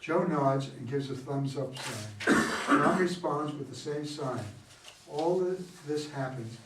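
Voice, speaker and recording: A man's voice: medium pitch, slow pace. A man plainly reading stage directions aloud as the narrator of an amateur play reading. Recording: echoey room, distant mic, background noise.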